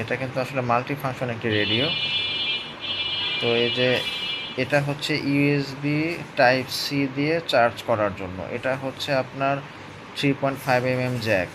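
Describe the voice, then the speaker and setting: A man gives a casual, hands-on product review, explaining step by step and often checking in with his listeners. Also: medium pitch. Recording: clear background noise, urban ambience.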